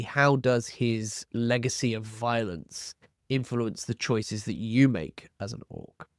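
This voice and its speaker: A man hosting a tabletop roleplaying tips video, explaining in a measured, semi-scripted way and stressing key terms. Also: medium pitch, no echo, studio quality.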